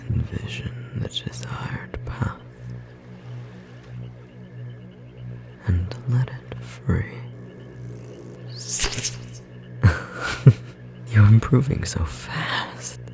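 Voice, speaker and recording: A performer voicing a tender magical bookstore clerk in an ASMR roleplay, whispering softly and gently encouraging a beloved partner, with a slightly rough edge. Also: medium pitch, animated, drawn-out words, long pauses, over music, full character voice. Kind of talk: ASMR roleplay, intimate whispered second-person acting.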